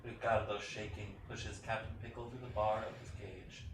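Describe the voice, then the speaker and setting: Lowish male narrator, roomy and distant. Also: level tone, moderate pace. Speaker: stage directions reader. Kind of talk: scripted narration at a staged play reading. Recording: roomy, distant, clearly noisy.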